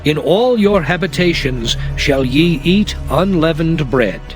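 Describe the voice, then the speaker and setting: A man voicing God in a dramatized scripture reading, proclaiming laws in solemn, measured phrases over music. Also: a little high, animated, rough recording. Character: the Lord, commanding divine voice giving laws. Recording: music under the voice.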